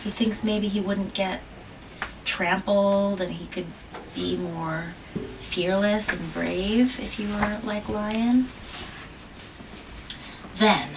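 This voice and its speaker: A mother reading a picture book aloud to her child at home, gently stopping to ask questions and jumping to a squeaky high pitch on small words. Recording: distant mic with faint room noise.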